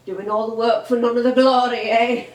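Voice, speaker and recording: An actress playing a feisty, chatty old music-hall comedienne with a Northern English accent, in a bantering stage-play style. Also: female voice, fairly high pitch, level tone, normal speed, lightly acted. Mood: warm, amused. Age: older. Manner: colloquial, bantering, theatrical. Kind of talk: live stage play acting. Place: theatre stage, recorded from a distance. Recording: roomy stage recording, distant mic.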